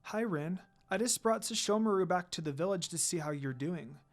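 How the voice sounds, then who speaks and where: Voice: mid-range voice, animated, normal speed, close to an everyday voice. A narrator as a kind, caring young woman. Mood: warm.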